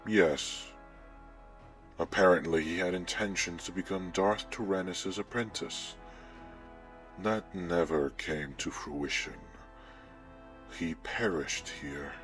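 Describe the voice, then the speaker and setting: A man playing a menacing, commanding Sith lord in a sci-fi audio drama, speaking in a measured, imperious way with a cold, taunting edge. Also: low voice, fairly bright tone. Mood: measured, ominous. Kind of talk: scripted sci-fi audio roleplay acting. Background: background music.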